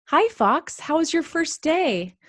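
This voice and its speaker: Storybook schoolgirl mouse, played by a woman, sincere and caring, lifted higher in pitch. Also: sing-song, slow, dry recording, clean studio sound, exaggerated voice. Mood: friendly, caring. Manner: sincere, explaining simply to family. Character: earnest little girl mouse, a caring schoolchild. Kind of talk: children's storybook read-aloud.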